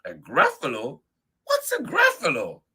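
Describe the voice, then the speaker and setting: A man voicing a male owl in a children's story, talking in a high, shrill voice that breaks into panicked shouting. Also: wildly swooping pitch, measured pace, husky, full character voice. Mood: puzzled. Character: excitable shrieking male owl.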